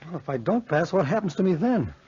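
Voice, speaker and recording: A man's voice: high-pitched, big pitch swings, fast, dark tone, a little hiss or hum. An actor playing an earnest, anxious, lovesick young college man with strained melodrama in a 1940s radio horror play. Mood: nervous, troubled. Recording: muffled 1940s radio broadcast recording.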